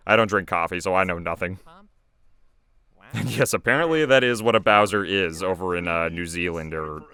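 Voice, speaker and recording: A man's voice: low voice, normal speed, pushed and bright, clear, resonant tone. A young man giving casual, rambling commentary as an animator and voice actor, chatty and easily amused.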